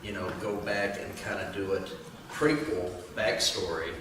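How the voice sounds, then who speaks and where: A man's voice: low-pitched, steady tone, measured pace. A man explaining his script casually as a writer on a panel. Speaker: screenwriter. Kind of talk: panel question-and-answer, casual. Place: indoor room at a table read event. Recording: distant, very echoey, noisy room.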